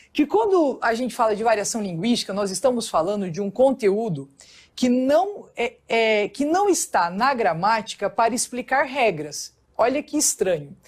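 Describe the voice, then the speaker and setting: A woman teacher with a São Paulo state accent and a strong rolled R, explaining a lesson in a recorded class and often checking in with the students. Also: medium pitch.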